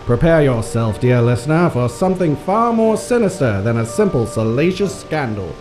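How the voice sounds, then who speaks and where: A man's voice: mid-range voice, sing-song, normal speed. Dramatic male radio announcer over music. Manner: dramatic, addresses listeners grandly. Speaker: announcer and narrator. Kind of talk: theatrical scripted radio show introduction.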